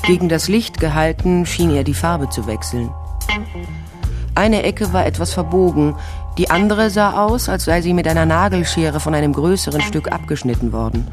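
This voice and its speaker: A woman's voice: sits low, moderate pace, music in the background, close to an everyday voice. An actress playing a shrewd, wry investigator who narrates her case in a dry, matter-of-fact way in a radio crime drama.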